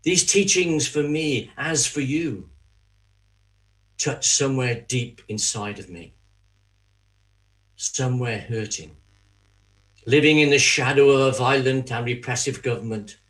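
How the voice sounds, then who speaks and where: Remorseful betrayer, played by a man, mid-range voice, very measured pace. Anguished, halting confession for a church drama. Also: medium pitch, fairly bright tone, natural voice, poor audio. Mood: reflective, confessional. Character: Judas, remorseful betrayer haunted by guilt, pleading. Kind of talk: dramatic monologue in a church reading.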